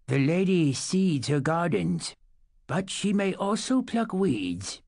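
Man playing a slow, creaky, weary old man. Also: fairly high pitch, big pitch swings, a little mellow, no echo, studio quality, pitched above the performer's own voice, heavily acted. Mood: cryptic. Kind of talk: audiobook character voice.

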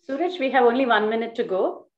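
A woman's voice: a little high, animated, fast talker. A woman moderating a video conference, with polite, brief prompts. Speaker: session moderator. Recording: roomy, dull video call audio.

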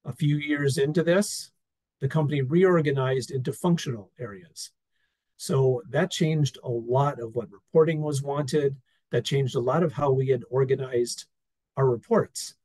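A man presenting an experience report over slides on a video call, talking in a relaxed, wry way with fillers and restarts. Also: mid-range voice, a little slow, dry recording, low-quality recording. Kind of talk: conversational talk over slides.

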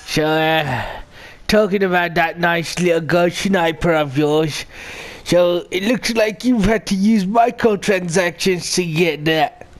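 A man putting on a slow stammering old pensioner. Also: high voice. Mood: doddering. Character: doddering 81-year-old male pensioner. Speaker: gamer pranking on voice chat.

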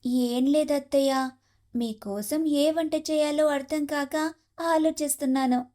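A woman's voice: high voice, fairly even intonation, slow pace, mellow. A woman voicing a scheming, complaining young daughter-in-law with a dramatic manner in a family moral story. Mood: placating. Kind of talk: moral-story cartoon dialogue.

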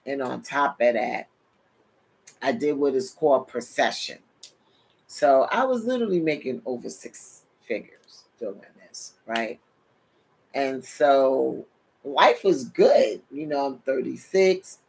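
A woman's voice: a bass voice, takes it slowly, creaky, breathy edge, rough recording. A middle-aged woman from New York with a New York accent and Caribbean touches, giving a sermon-style testimony from a home office over a webcam, speaking animatedly and calling listeners "people". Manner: animated, addresses listeners as "people", gestures with hands. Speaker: preacher and show host. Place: home office, seated in desk chair.

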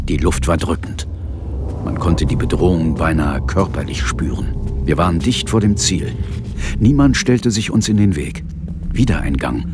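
An actor playing a cool, tense police inspector who narrates a demon fight in a dramatic radio play. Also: male voice, deep voice. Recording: music bed under the voice. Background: dramatic music.

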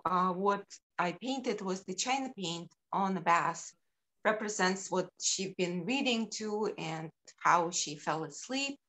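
Slow-paced woman from Ukraine presenting. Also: fairly low pitch, a bit bright. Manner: unhurried, halting with frequent ums. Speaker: sculptor presenting her work. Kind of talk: slide presentation on a video call. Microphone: video call.

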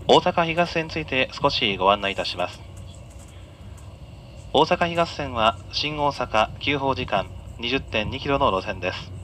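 A man working as a train conductor, giving polite, formal announcements and sightseeing guidance to passengers in a measured way. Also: mid-range voice, animated, fairly bright tone. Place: inside a moving train, over the announcement system. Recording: on-board PA captured amid train noise. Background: faint train running noise.

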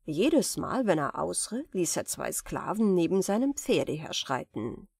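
A woman reading a fairy tale as an audiobook narrator, in expressive, carefully enunciated storytelling. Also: fairly low pitch, a little slow, gravelly, husky, dry recording, clean studio sound.

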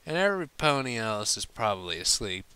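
A man's voice: fairly low pitch, very animated, a little fast, pushed and bright, clear, resonant tone, no echo. A man voicing a blunt, tipsy female farm pony from a storybook, with folksy country phrasing. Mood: pleading.

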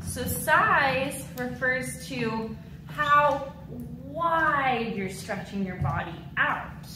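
A woman in her thirties teaching a dance lesson to children, with an animated, encouraging, clearly enunciated delivery. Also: sits high, very slow, noisy background. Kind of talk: instructional video lesson for children. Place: large mirrored dance studio indoors.

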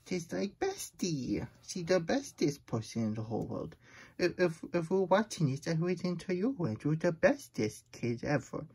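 A man's voice: fairly high pitch, sing-song, moderate pace, vocal fry, darkish tone, slightly rough, exaggerated voice. A man voicing a silly, childlike plush hedgehog who reads storybooks aloud in babyish broken grammar and gets easily flustered. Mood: playful, suspicious. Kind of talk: playful storybook read-aloud.

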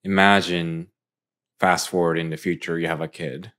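A man's voice: sits low, flat delivery, normal speed, fairly bright tone. A young man co-hosting a podcast in a small room, asking long rambling questions full of fillers into a microphone on a stand. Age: young adult. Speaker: podcast co-host. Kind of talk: casual chat. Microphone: boom mic on stand.

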